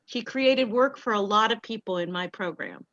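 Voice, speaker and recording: A woman's voice: medium pitch, lively intonation, moderate pace, a bit bright. A woman speaking reflectively as a theatre director in an interview, over a dull, roomy video call.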